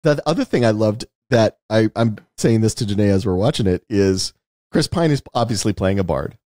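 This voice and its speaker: A man's voice: fairly low pitch, expressive, normal speed, mellow, no echo, clean studio sound. A man co-hosting a podcast in a casual film-review chat, telling stories in an emphatic, unguarded way. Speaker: podcast co-host, television producer.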